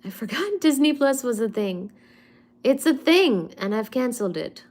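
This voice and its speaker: A young woman from India with an Indian accent, hosting a casual livestream and answering viewer comments in an unhurried, hesitant way with frequent fillers. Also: fairly high pitch, animated, darkish tone. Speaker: livestream host. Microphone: phone or webcam on a live stream.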